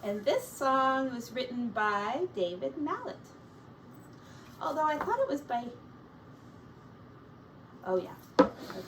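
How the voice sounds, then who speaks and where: A woman with a high voice reads a picture book to young children at a slow pace. She is a teacher, gentle and simple in her explanations. The microphone sounds some way off from her. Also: very animated, distant mic, soft background music, poor audio. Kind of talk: storybook read-aloud with simple asides.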